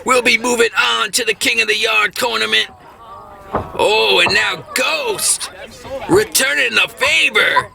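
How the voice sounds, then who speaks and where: A man giving excited, dramatic play-by-play wrestling commentary, emphatic and often shouting. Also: sits high, a little slow, faint music behind. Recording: clearly noisy background.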